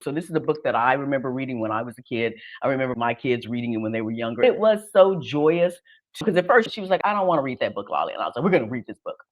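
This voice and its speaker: A woman's voice: rumbling low, animated, fast, lo-fi sound. A middle-aged woman hosting a casual conversational interview, with an affirming, encouraging manner. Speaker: host of an online interview show.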